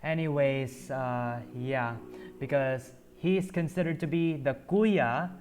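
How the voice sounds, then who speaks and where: A man streaming a video game, chatting casually and haltingly with lots of ums and uhs. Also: medium pitch. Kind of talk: casual gameplay commentary. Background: game music.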